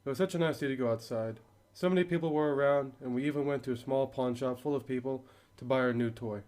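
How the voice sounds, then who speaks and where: A man's voice: medium pitch, fast talker, a little mellow, lightly acted. A man playing a worried father in an amateur radio drama, earnest and reflective.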